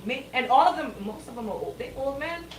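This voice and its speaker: Animated, opinionated woman radio co-host. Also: high-pitched, a little fast. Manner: emphatic, repeats phrases and rhetorical questions.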